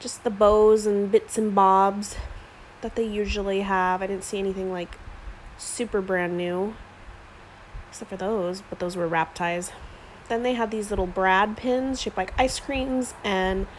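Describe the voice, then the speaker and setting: A woman's voice: medium pitch, steady tone, unhurried, a little hiss or hum, rough recording. Chatty woman filming a store walk-through. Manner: chatty, lots of "like" fillers. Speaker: craft vlogger. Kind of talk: casual shop-with-me narration. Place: inside a store, walking the aisles. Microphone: handheld phone camera.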